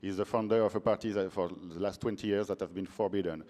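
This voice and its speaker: Very deep-voiced man interpreting haltingly. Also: steady tone, warm tone. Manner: halting, many restarts and "uh" fillers. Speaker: interpreter on a panel. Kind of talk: consecutive interpreting. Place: large conference hall. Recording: long hall reverb.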